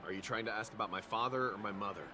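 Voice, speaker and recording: A man's voice: lowish voice, very fast, noisy background, natural voice. An actor playing a lonely, earnest young man in a video game, speaking wistfully. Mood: weary, resentful. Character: lonely, earnest young son of an overprotective father. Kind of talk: dubbed video game acting.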